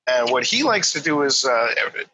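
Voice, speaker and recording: A man's voice: mid-range voice, fast talker, slight background noise, poor audio. A man who grew up in Korea with English as his first language, talking casually as a podcast guest with lots of fillers and hesitations. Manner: relaxed, hesitant, many fillers. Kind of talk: casual chat.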